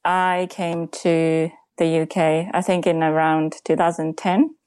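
Low-voiced Japanese woman, dry sound. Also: flat delivery, measured pace, darkish tone, smooth, dry recording. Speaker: podcast guest, mother. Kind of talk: casual interview chat.

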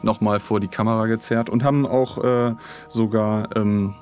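Guy co-hosting a podcast, lowish voice, rambly and dry, just chatting in a studio. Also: fairly even intonation, normal speed, darkish tone, faint music behind. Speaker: podcast co-host. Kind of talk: casual chat. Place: recording studio.

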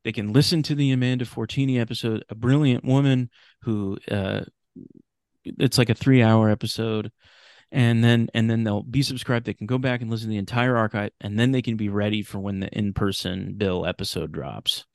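Creaky-voiced American man chatting casually. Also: lowish voice, steady tone, normal speed, dry recording, studio quality. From Sacramento, California, United States. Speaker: podcast guest, host of his own show. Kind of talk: casual podcast chat.

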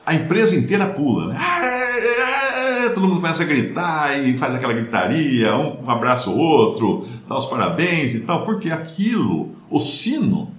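A middle-aged man with a Brazilian accent, hosting a relaxed career chat and telling lively anecdotes with sound effects. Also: a little high, normal speed, hardly any pauses. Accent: Brazilian Portuguese. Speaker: podcast host and speaker. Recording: roomy, dull-sounding.

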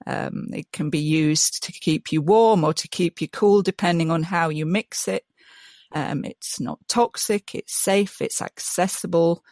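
A woman's voice: lowish voice, measured pace, darkish tone, dry recording. Enthusiastic woman, dry close sound. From Yorkshire, England. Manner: enthusiastic, restarts often, many ums. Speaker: guest, mud mason. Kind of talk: casual interview chat.

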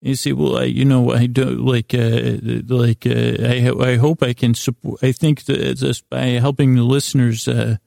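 A man with a creaky, gravelly voice hosting a sleep podcast, meandering and halting as he talks, often stammering and repeating his words. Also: mid-range voice, level tone, dark tone, no room sound, studio quality. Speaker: podcast host. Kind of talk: rambling sleep podcast monologue.

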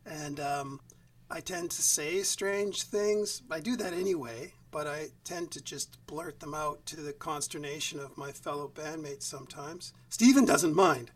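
Quiet man set back from the mic. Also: fairly high pitch, measured pace, far from the mic. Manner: quiet, even speaking voice. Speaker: musician guest. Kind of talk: casual interview chat. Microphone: video call.

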